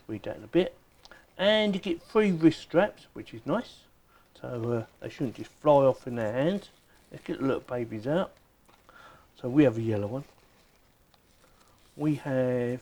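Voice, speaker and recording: A man's voice: fairly high pitch, warm tone. A man giving a casual, chatty unboxing and product demonstration, thinking aloud informally as he goes. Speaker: product reviewer.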